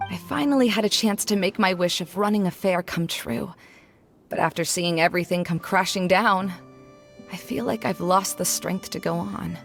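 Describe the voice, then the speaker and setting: A woman's voice: medium pitch, lightly acted. A woman voicing a weary young woman scholar who runs a fair in a video game, speaking in a wistful, subdued way.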